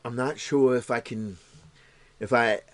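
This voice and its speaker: An actor playing a troubled, wounded, anxious husband in an audio play, speaking in a halting, confessional way. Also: male voice, mid-range voice, fairly even intonation, no echo, natural voice. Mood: subdued, hesitant. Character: troubled, wounded, anxious estranged husband, brooding and self-pitying.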